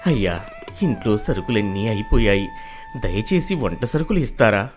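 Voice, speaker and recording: A man's voice: fairly low pitch, big pitch swings, normal speed, soft background music. A man acting a poor, weary village farmer in a moral story, humble, pleading and sorrowful.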